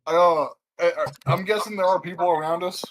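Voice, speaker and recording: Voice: medium pitch, steady tone, brisk, rough recording. Casual, joking, tabletop player, faint background hiss. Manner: laughing, joking.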